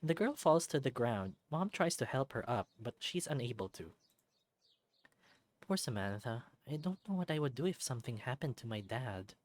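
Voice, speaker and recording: A let's play narrator reading a visual novel aloud in an expressive storybook way, with light personal asides. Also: mid-range voice, moderate pace.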